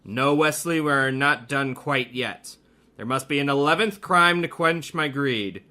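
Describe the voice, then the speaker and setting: An actor in a radio mystery playing a grandiose, greedy criminal mastermind, a gloating hypnotist doctor with a theatrical delivery. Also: male voice, mid-range voice, measured pace, bright, forceful tone. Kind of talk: radio mystery drama acting.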